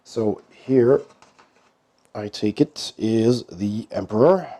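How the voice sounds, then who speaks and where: A man with a cold speaks in a hoarse, very dark and mellow voice that sits fairly low. He talks very slowly and casually as he hosts an unboxing. His delivery is low-key, with many pauses between thoughts. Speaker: board game channel host.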